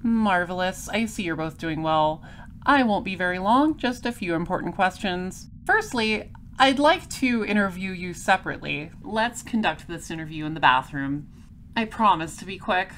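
A woman playing a polite, persistent space patrol detective in an audio drama, questioning in a formal, measured way. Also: on the low side, expressive, fairly bright tone, a little hiss or hum. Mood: composed, businesslike. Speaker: lead detective and narrator.

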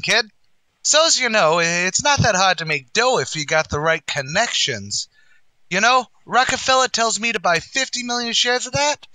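A man plays a bluffing, boastful tavern manager in old-time radio comedy style. His voice is high and very sharp, swooping through folksy, mangled-grammar patter. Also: no echo, exaggerated voice, poor audio. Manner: folksy, ungrammatical, bluffing wise-guy delivery. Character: blustering, boastful, uneducated tavern manager uncle.

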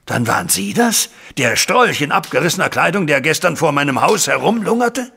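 Raspy, troubled older gentleman. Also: on the high side, sing-song, normal speed, heavily acted. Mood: astonished, urgent. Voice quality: gravelly, raspy. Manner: formal, emphatic. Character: older gentleman father, troubled, formal and grateful client. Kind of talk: German audio drama acting.

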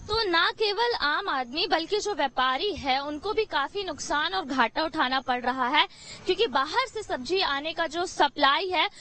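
A woman's voice: sits high, lively intonation, measured pace, sharp tone. A young woman field reporter doing a street news piece at an outdoor vegetable market, speaking into a handheld mic with frequent "uh" fillers.

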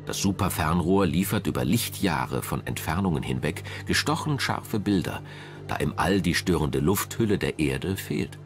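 A man reading scripted documentary narration in a measured, dramatic manner. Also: low voice, expressive. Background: music.

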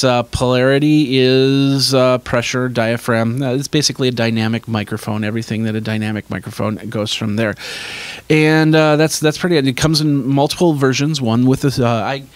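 A man's voice: medium pitch, dry recording. A middle-aged man with a boisterous voice, speaking into a handheld mic in a small home studio and rambling casually with lots of restarts as he demonstrates gear. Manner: rambling, many restarts and fillers. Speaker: tech video host. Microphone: handheld dynamic mic held near the mouth.

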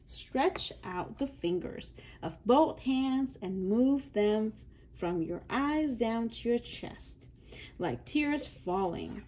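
Slow, high-voiced woman reading to children. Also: lively intonation, mellow. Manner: instructional, stretches out key words. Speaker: children's book reader. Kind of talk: read-aloud for young children with asides.

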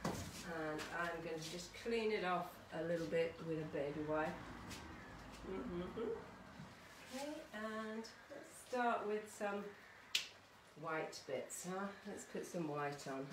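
A woman's voice: on the low side, slow. A middle-aged woman giving a casual art demonstration for children, chatty and encouraging, often checking in with her listeners. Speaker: artist leading a children's art workshop. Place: small conservatory-style home studio. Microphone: camera or phone a short distance away. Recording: roomy, distant mic, some background noise.

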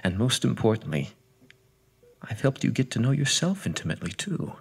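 A man playing a creepy, sadistic captor in a horror audio drama, cooing slowly with false tenderness and menace. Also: medium pitch, big pitch swings, vocal fry, breathy edge, exaggerated voice. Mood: possessive menace.

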